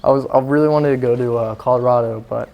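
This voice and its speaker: A man who grew up in Singapore answers interview questions in an earnest, meandering way. His pitch sits in a mid range, and his sentences trail off and restart, padded with small fillers. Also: steady tone, fast, gravelly, highs cut off. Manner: earnest, rambling, with many fillers. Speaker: guest. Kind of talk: casual interview chat.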